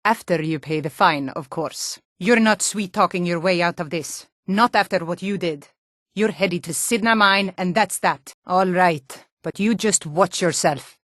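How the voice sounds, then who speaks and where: A woman's voice: fairly low pitch, a little slow, fairly bright tone, no echo, clean studio sound. An actress voicing a tough Nord guard and bandit woman in a fantasy video game, stern, threatening and taunting. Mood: stern, grudging. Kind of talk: video game character voice lines.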